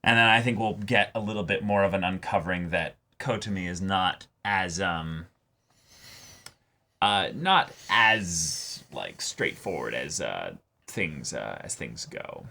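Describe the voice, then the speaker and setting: A man co-hosting a casual anime reaction discussion, animated and swearing freely, often acting out characters' lines. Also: sits low, unhurried, creaky. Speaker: co-host of a reaction show.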